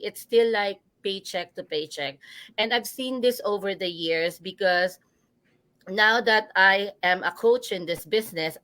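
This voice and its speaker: A woman's voice: mid-range voice, a bit bright, no room sound. A Filipino-accented woman in her forties, a business coach telling her own story in a live interview, earnest and chatty, often checking in with "right?". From Philippines.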